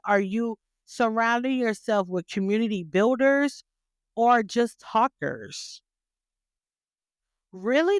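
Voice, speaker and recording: A woman's voice: a little high, clear, resonant tone, dry recording, clean studio sound. A woman hosting a podcast, giving a motivational talk full of rhetorical questions and repeated phrases, with a voice she calls graceful yet stern. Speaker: podcast host.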